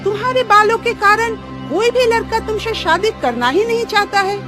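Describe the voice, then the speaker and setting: An actress playing a sharp-tongued, indignant woman in a family drama cartoon. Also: very high voice, moderate pace, over music. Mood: scolding. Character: feisty, scolding women of a family drama cartoon.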